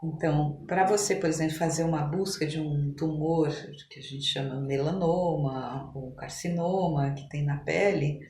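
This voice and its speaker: A woman with a Brazilian accent explaining things conversationally as an interview guest, in a halting, emphatic way like a medical professional. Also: sits low, mellow. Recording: echoey room, faint background noise.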